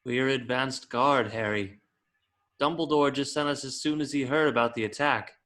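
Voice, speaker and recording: A man playing a composed, protective wizard guard in an amateur script read, measured and instructing. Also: mid-range voice, fairly bright tone, lightly acted. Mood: calm, instructing.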